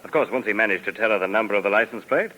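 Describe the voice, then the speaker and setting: An actor playing a greedy, mock-polite killer in a 1950s radio drama, smoothly menacing and easily worked up, on an old radio recording. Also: male voice, lowish voice, rapid-fire, rough recording. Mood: smug, courteous. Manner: mock-polite, smoothly menacing, turning frantic when excited. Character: greedy, gloating male killer hunting hidden loot.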